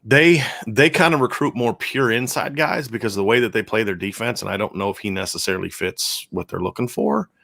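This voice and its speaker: A man with a deep, low voice that has gone hoarse from a sore throat, talking football on a live-stream podcast. He chats loosely, doubling back and restarting his sentences as he works out his answers. The sound is dry and close, with no room around it. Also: brisk, hardly any pauses, bright, forceful tone, no echo. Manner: chatty, restarts sentences often while thinking aloud. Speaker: podcast co-host and football analyst. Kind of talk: casual live-stream chat answering viewer questions.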